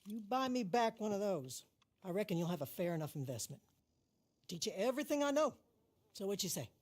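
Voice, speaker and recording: A tough Southern moonshine boss. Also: female voice, mid-range voice, sing-song, brisk, darkish tone. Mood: businesslike. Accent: American South. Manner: plainspoken, dry, folksy. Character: tough, vengeful older female moonshine boss, shrewd and commanding. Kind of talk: cinematic game dialogue.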